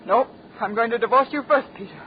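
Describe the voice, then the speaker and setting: A woman's voice: a little high, fast, natural voice. A woman acting an earnest wife on hissy radio. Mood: firm, resigned. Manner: earnest, polite, dramatic. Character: earnest, anxious wife leaving her husband. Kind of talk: old-time radio drama acting. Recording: dull, noisy old radio broadcast.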